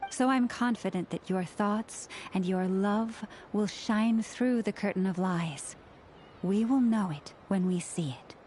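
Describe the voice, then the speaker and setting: A woman playing an earnest, kindly, faithful companion in a video game, speaking reassuringly in measured, formal phrases. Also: mid-range voice, darkish tone, a little hiss or hum, close to an everyday voice. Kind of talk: dramatic video game voice acting. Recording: music behind the voice. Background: music.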